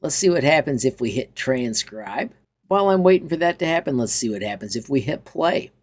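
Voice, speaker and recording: Male how-to presenter, medium pitch, informal and enthusiastic, talking viewers through software. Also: animated, brisk. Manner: chatty, enthusiastic. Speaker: tutorial host. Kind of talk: informal software walkthrough with upbeat sign-off.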